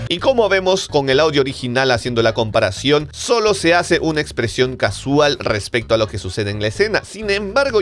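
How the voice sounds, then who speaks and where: A man's voice: fairly high pitch, fairly bright tone. A man from Peru hosting a video commentary, speaking emphatically and straight to his viewers. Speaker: video host. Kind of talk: scripted video commentary to camera.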